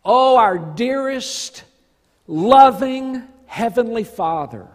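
A man in his fifties preaching a church sermon as a pastor, earnest and emphatic, with deliberate, drawn-out phrases in a reverberant church hall. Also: very high voice, lively intonation. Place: large church sanctuary stage, indoors.

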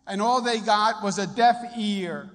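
A man's voice: high voice, unhurried, fairly bright tone. A man giving an emphatic, declarative public speech. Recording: echoey hall with some noise.

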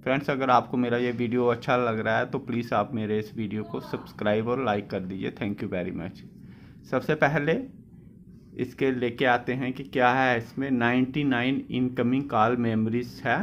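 A man's voice: medium pitch, steady tone, normal speed, highs cut off, slight background noise. A man giving an informal product review, explaining by repeating and rephrasing.

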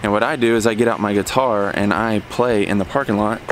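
A man's voice: on the low side, fairly even intonation, brisk, slight background noise, rough recording. A man in his thirties, a worship leader, chatting casually and joking while filming himself with a handheld camera. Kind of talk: casual vlog chat. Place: church hall and outdoor parking lot. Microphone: handheld camera at arm's length. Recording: music playing behind.

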